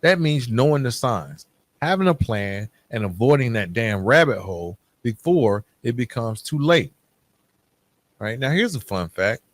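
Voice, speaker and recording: A man with a mid-pitched voice speaks as a solo podcast host. His delivery is candid and informal, sitting between prepared notes and loose conversation. He talks straight to the listener and often tags his points with a short check-in question. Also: big pitch swings, unhurried, no room sound. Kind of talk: solo podcast talk on recovery, half-scripted and conversational.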